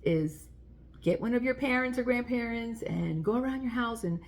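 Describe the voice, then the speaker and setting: A woman's voice: on the high side, expressive, normal speed. A woman hosting a children's story time, reading aloud and speaking directly to young listeners in simple, explanatory language.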